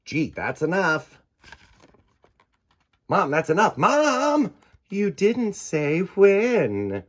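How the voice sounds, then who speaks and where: A man's voice: high-pitched, sing-song, very slow, pitched above the performer's own voice, exaggerated voice. A man voicing a playful, earnest young boy in a picture book, speaking in emphatic exclamations. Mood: mock protest.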